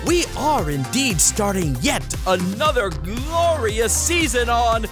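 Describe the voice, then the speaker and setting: A man's voice: very high voice, sing-song, slow, music in the background, exaggerated voice. A man playing a gleefully sadistic livestream host in an audio drama, grandiose and singsong, with mock courtesy. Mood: gleeful showmanship.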